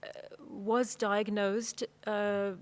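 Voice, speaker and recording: A woman's voice: medium pitch, level tone, extremely slow. A woman moderating a panel, steering it with short follow-up questions.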